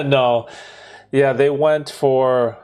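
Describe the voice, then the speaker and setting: A man's voice: mid-range voice, clean tone. Man in his thirties explaining casually. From Bermuda, raised in Canada. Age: 30s. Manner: explains at length, tags with "right?". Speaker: streamer hosting a Q&A. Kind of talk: casual chat. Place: home in Toronto.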